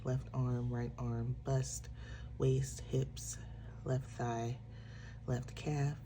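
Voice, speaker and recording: A woman walking through the pages of her own planner in a relaxed, casual way. She sounds a little nasal from congestion and fills her explanations with frequent ums. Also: a bass voice, drawn-out words, noisy background. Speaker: planner shop owner. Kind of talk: casual product walkthrough.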